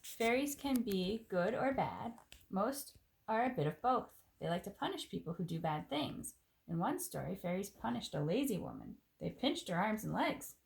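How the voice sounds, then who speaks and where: Woman doing a picture book read-aloud, husky, very animated intonation, chatty little asides. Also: medium pitch, moderate pace, rough recording.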